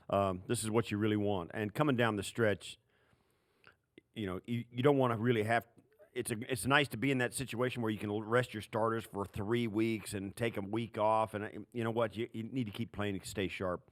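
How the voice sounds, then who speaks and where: Lower-voiced man talking football, dry sound. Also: no echo. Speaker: sports podcast co-host, analyst. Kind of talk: conversational football commentary.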